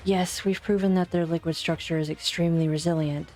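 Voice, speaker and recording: A woman acts out an analytical investigator in a game drama. Her voice is on the low side, level-headed and direct, with faint music underneath. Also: fairly even intonation, a little fast, a little mellow, lightly acted. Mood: probing. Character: composed, analytical young female investigator, probing and direct. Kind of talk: amateur fan dub game drama acting.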